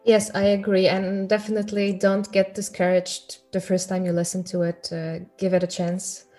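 A woman's voice: medium pitch, monotone, moderate pace, mellow, faint music behind. Thoughtful woman discussing a record. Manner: thoughtful, searching for words with fillers. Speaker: podcast co-host. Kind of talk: casual chat about music.

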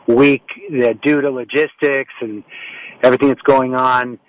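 A man's voice: mid-range voice, level tone, a little slow, no room sound. A man hosts an interview show from home over a remote line. He talks in a mid-range voice, circling back and restarting his sentences as he frames a question. The sound is dry and slightly muffled, with no room around it. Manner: rambling, with frequent restarts and fillers. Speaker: talk show host. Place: home setup in New York. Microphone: remote call setup.

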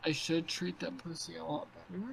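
A man's voice: fairly high pitch, level tone, fairly quick, creaky. A man in his twenties in crude, rambling casual chat on a video call, heavy with fillers and profanity. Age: 20s. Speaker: podcast co-host.

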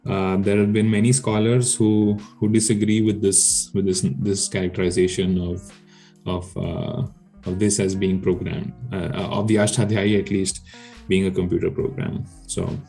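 A man's voice: low voice, flat delivery, moderate pace, creaky, warm tone, soft background music. A man whose first language is Telugu, a guest researcher explaining his work in casual podcast chat, hesitant with many fillers and restarts.

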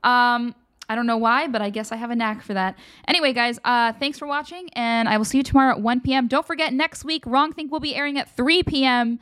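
A woman's voice: fairly high pitch, fairly even intonation, a little fast, fairly bright tone, smooth. A woman hosting her own live show, chatting casually and candidly with viewers and handing out frank advice. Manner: candid, advice-giving, loose and conversational. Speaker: host of a livestream show.

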